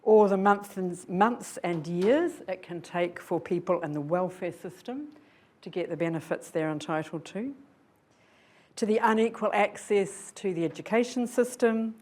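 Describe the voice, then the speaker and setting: A woman's voice: on the low side, darkish tone. An older woman from New Zealand giving an earnest, reflective public lecture, often posing rhetorical questions to her audience. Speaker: guest lecturer. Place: lecture hall.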